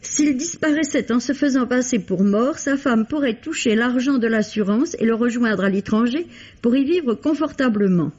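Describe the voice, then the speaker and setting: A woman literary critic reading a scripted radio book review in a measured, formal way. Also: a little high, a little mellow. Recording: dull old radio recording.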